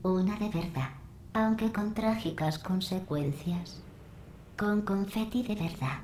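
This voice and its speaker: An actress voicing a cold, sarcastic female computer overseer in a Spanish game dub, calm and mocking. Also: mid-range voice, takes it slowly, lightly acted. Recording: roomy reverb over game music.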